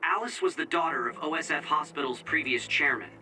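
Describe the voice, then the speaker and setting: A man's voice: a little high, fairly quick, natural voice. A man playing a matter-of-fact squad member giving a report in a video game. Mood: informative. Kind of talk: English video game voice acting. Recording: distant-sounding, clear music underneath.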